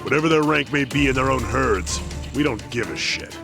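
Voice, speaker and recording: A man's voice: mid-range voice, animated, brisk, music in the background, natural voice. A man playing a cold, threatening yakuza clan enforcer in a dubbed video game cutscene. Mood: cold menace.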